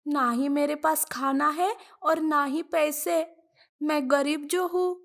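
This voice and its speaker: Cartoon schoolboy played by a woman: poor, dutiful, anxious. High-pitched, unhurried, earnest delivery. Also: fairly even intonation. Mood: worried, pleading. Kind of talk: Hindi moral-story cartoon dubbing.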